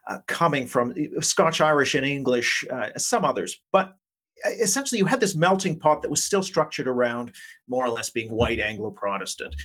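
A man's voice: mid-range voice, a bit bright. A man speaking as an academic interview guest, explaining his points with many qualifiers. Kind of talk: interview discussion.

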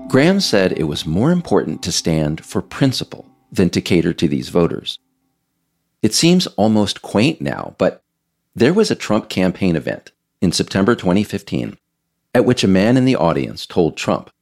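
An American man reading scripted narration in a measured, emphatic storytelling way. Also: deep voice, a little mellow. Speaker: author reading own work, narrator.

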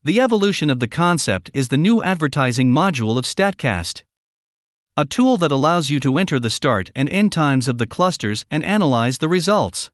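Male interview guest, slightly high voice, very lively intonation, formal explanatory answers. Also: normal speed, no echo, clean studio sound. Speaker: interview guest, media analytics company representative.

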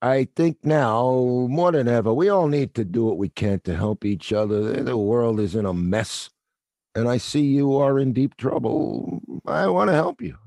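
An actor playing a middle-aged male theater night watchman who is gruff but kind, with a wry, world-weary, fatherly way of talking. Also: medium pitch, a little slow, warm tone, no room sound, studio quality. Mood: warm. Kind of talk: audio play acting.

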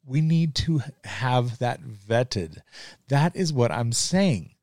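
A man's voice: mid-range voice, expressive, slow, a little mellow, no echo. Earnest middle-aged man, dry close sound. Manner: earnest, conversational, with frequent ums and restarts. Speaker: podcast host. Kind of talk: casual monologue.

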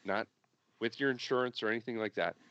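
A man's voice: lowish voice, quick pace, fairly bright tone, dry recording, highs cut off. A man co-producing a podcast, still getting over an illness, making his points emphatically and repeating them. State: recovering from illness, deep voice. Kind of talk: casual political chat.

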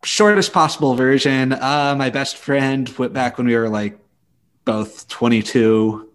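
A man's voice: medium pitch, unhurried, clean tone. A man co-hosting a podcast, chatting casually and telling things in an informal way. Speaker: podcast co-host. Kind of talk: casual chat and anecdote.

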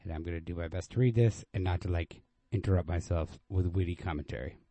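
A man with a low, mellow voice, recorded close and dry. He sounds informal and unrehearsed, like a host casually reading a story aloud. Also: quick pace, no echo. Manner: informal, self-deprecating, unrehearsed.

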